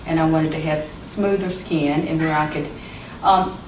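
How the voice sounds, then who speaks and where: A woman's voice: sits low, fairly even intonation, moderate pace, far from the mic. A woman in her fifties answering interview questions as a patient, talking conversationally with hesitant fillers. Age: 50s. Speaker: patient giving a testimonial. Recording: echoey room, voice from off-mic, background noise.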